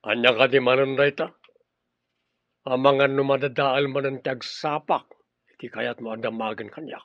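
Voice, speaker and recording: An actor playing a ruthless, short-tempered, greedy crime boss in a radio drama, domineering and mocking. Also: male voice, mid-range voice, fairly even intonation, moderate pace, dry recording, natural voice. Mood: irritated. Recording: dull lo-fi audio with faint hiss.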